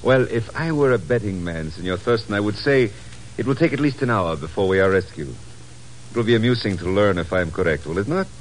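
Sly gentleman schemer for vintage radio man acting: courtly, lowish voice, highly expressive. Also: a little fast, a little hiss or hum, lightly acted, rough recording. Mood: feigned innocence. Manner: mock-innocent, courtly. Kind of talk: 1950s radio drama acting.

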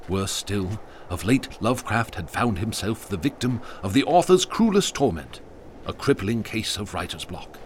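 A man's voice: on the low side, very animated, normal speed, slight background noise. Wry, theatrical man narrating. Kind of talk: scripted storybook narration.

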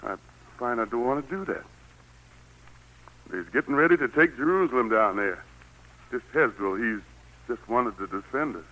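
A man's voice: mid-range voice, animated, normal speed, long pauses, bright, forceful tone, poor audio. An actor playing a weary, stubborn, fatherly God in an old radio drama, with a grave manner. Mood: troubled. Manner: folksy Southern dialect, grave and authoritative. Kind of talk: 1940s radio drama acting. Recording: old 1940s radio broadcast recording.

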